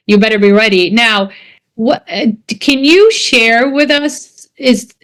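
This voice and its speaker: A middle-aged woman from Jordan, hosting a podcast interview in an unhurried, emphatic way that repeats key words. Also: mid-range voice, a bit bright. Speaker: podcast host. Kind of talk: casual interview chat.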